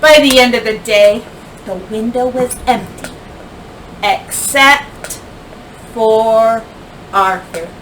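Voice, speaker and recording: A woman teacher reading a children's picture book aloud expressively, with deliberate phrasing, dramatic pauses and emphasis. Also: on the high side, fairly bright tone. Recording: distant mic, faint background noise.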